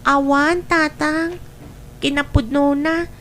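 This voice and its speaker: A woman's voice: high voice, fairly even intonation, slow, a bit bright, a little hiss or hum. A woman with a northern Philippine accent playing a wistful, respectful young daughter in an audio drama. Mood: wistful, hurt. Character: wistful, dutiful young daughter longing for her father.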